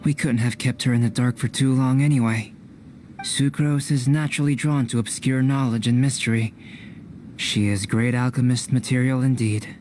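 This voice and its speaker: A man voicing a calm, analytical alchemist mentor in a video game, measured and formal, with a caring manner. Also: medium pitch, slight background noise, natural voice. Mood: composed, concerned. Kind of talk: animated game dubbing.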